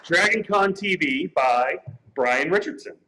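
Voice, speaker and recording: A man with a fairly high, varied pitch banters as he presents an award. He sounds casual and joking, over slight event noise. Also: expressive, measured pace, sharp tone, rough recording. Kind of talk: joking live stage banter.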